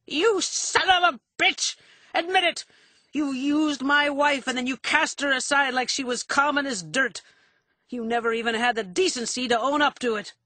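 A woman's voice: sits high, unhurried, pushed and bright, no room sound, pitched above the performer's own voice. A woman voicing a belligerent, drunken older man in an audiobook, cranky, argumentative and bitter. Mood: shrieking rage. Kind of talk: audiobook character acting.

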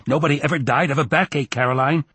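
A man's voice: fairly high pitch, a bit bright, slightly rough, dry recording, pitched above the performer's own voice. Exasperated family man, voiced by a male reader. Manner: exasperated, measured. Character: adult husband and father, exasperated, firm, placating.

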